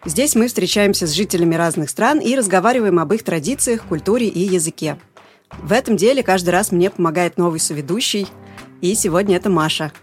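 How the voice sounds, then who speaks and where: A woman's voice: lowish voice, fairly quick, faint music behind. A woman hosting a podcast in a friendly way, introducing guests and guiding the talk. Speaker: podcast host.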